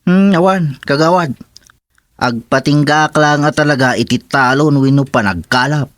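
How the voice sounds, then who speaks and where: An actor playing a resigned, hard-up rural farmer in an Ilocano radio comedy drama, with an Ilocano accent. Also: male voice, on the high side, normal speed, dry recording, studio quality, lightly acted.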